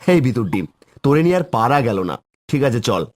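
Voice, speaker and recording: A man's voice: mid-range voice, sing-song, quick pace, a little mellow, slight background noise, close to an everyday voice. A man voicing a bold, skeptical young man who scolds his friend in a cartoon horror story. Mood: mocking. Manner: dismissive, scolding.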